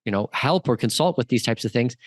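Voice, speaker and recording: A male podcast host with a medium-pitched voice talks in a relaxed conversational interview style. The recording is dry and close, with little echo. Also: fairly quick. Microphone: close mic on a remote video call.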